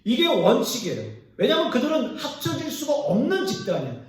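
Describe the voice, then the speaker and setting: A man's voice: extremely high pitch, wildly swooping pitch, fairly quick. A male pastor preaching a sermon, explaining scripture and putting questions to the congregation. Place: church sanctuary. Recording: echoey church hall from far off.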